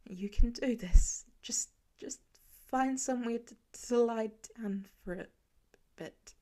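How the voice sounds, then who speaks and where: Brief: a woman reading as a worn-out, half-frozen teenage boy, hushed and trembling, barely above a whisper. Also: on the high side, slow pace. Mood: shivering whisper. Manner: soft, shivering whisper. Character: freezing, exhausted teenage boy, quiet and weary. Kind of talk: dialogue read in an audiobook.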